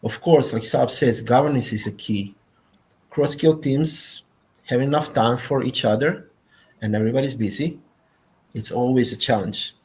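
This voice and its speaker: A man's voice: mid-range voice, normal speed. A man with a Croatian accent, a native Croatian speaker, speaking as a panelist in a technical discussion, emphatic and often ending points with "yeah?".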